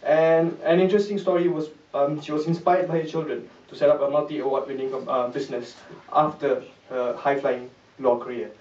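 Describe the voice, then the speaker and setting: A man's voice: on the high side, steady tone, brisk, hardly any pauses, a little mellow. A man hosting an event, introducing the next speaker with many hesitations. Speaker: event host. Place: event hall. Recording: echoey hall, distant mic, dull sound.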